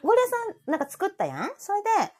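A woman with a Kansai Japanese accent chatting casually as a co-host, playful and teasing, quick to react. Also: very high-pitched, wildly swooping pitch. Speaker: co-host of a live audio chat show. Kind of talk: casual chat.

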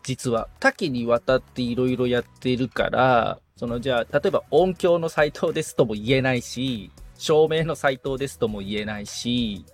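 Gravelly, husky man chatting casually. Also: mid-range voice, normal speed, few pauses. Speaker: podcast assistant. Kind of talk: casual conversational answers. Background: background music.

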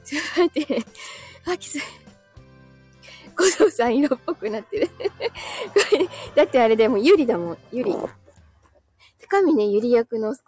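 A woman's voice: high-pitched, expressive, a little slow, long pauses, darkish tone, breathy edge, soft background music. A woman live streamer chatting casually and answering comments, giggly, tired and a little short of breath after being ill. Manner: giggly, often breaking into small laughs.

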